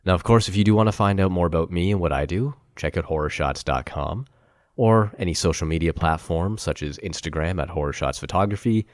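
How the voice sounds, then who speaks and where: A man hosting a podcast, chatting in a relaxed, upbeat way with his guest and wrapping up the show. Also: deep voice, fairly quick, no echo, clean studio sound. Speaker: podcast host. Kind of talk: casual interview and outro.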